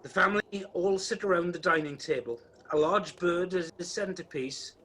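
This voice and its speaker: A man's voice: high voice, normal speed, sharp tone, slightly rough, full character voice. A man acting as the storytelling narrator of an amateur Christmas radio play, reading a script. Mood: descriptive. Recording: noisy home video-call recording.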